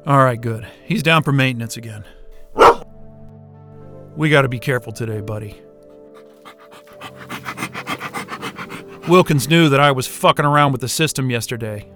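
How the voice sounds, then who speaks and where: A man's voice: medium pitch, fairly quick, over music, natural voice. An actor playing an exasperated, foul-mouthed male astrophysicist in an audio drama, irritable and sarcastic. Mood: low, confiding.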